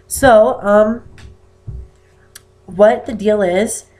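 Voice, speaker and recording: An eighteen-year-old man with an unusually high-pitched voice and damaged vocal cords, talking casually to camera and rambling with many ums. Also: fairly even intonation, drawn-out words, a little mellow, soft background music. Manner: rambling, with many "um"s and self-corrections. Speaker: vlogger. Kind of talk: casual personal talk to camera. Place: indoor room with poor lighting.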